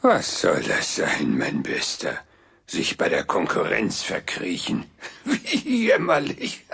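An actor playing a smug, theatrical villain in a German radio drama, drawling with mock courtesy and a raspy voice. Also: male voice, sits low, fairly bright tone, full character voice. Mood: gleeful menace. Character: Behringer, smug theatrical villain, cackling and menacing.

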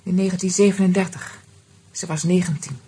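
An actress playing a composed, resigned middle-aged woman, speaking in a measured, subdued way in a Dutch radio drama. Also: fairly low pitch, steady tone, mellow, breathy edge. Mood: sombre. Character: Vera, resigned, composed woman recalling old sorrows.

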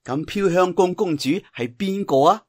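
A man voicing a clever, composed young heroine in a martial arts story, speaking softly and knowingly with a Cantonese accent. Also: sits high, animated, normal speed, pitched above the performer's own voice. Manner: soft, knowing, teasing.

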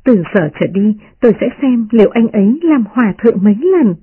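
A performer voicing a playful, sharp-tongued young noblewoman who mocks and teases, in a storybook reading. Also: mid-range voice, animated, a little fast, breathy edge, narrow, tinny audio, pitched above the performer's own voice. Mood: teasing. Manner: playful, mocking.

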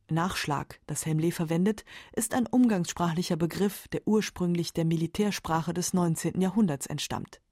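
A woman's voice: fairly low pitch, a little mellow, dry recording. A woman reading scripted narration for a literary radio documentary, close on a studio microphone.

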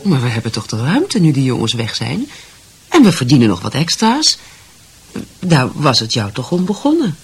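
An actress playing an insistent, hospitable lady of the house with a brisk, placating manner in a radio drama. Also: rumbling low, wildly swooping pitch, breathy edge, exaggerated voice. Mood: dismissive, insistent. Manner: brisk, placating, dismissive of doubts. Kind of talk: Dutch radio drama acting.

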